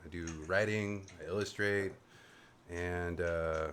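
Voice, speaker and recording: A man with a fairly low voice hosts a loose panel chat over a video call. He jokes and rambles, poking fun at himself, and a faint hiss sits under his voice. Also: fairly even intonation, slow pace, a bit bright. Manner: jokey, rambling, self-mocking. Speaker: host of a panel show. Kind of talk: casual joking chat.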